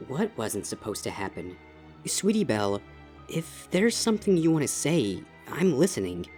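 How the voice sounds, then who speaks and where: A woman's voice: very deep voice, big pitch swings, moderate pace, darkish tone. A woman voicing a stern yet concerned schoolteacher pony in a dramatized story reading, questioning and admonishing. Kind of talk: dramatized fan fiction audio reading. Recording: music under the voice.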